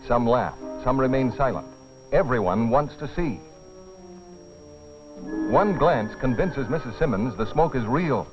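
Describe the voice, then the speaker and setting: A man narrating a dramatized film in a grave, deliberate scripted style with dramatic pauses. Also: medium pitch, lively intonation. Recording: dull old film soundtrack, music behind. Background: dramatic music.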